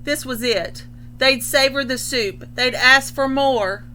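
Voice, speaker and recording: A woman reading a children's picture book aloud expressively, pausing to explain things in simple terms. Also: high voice, pushed and bright, a little hiss or hum. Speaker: storybook reader.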